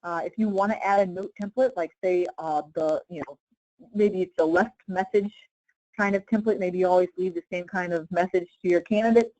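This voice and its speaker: A woman's voice: on the low side, fairly even intonation, brisk, dark tone, dry recording, dull audio. Woman trainer, casual step-by-step tutorial, dry sound. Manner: informal, step-by-step explaining with check-in questions. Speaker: software trainer. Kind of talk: casual live screen-share tutorial.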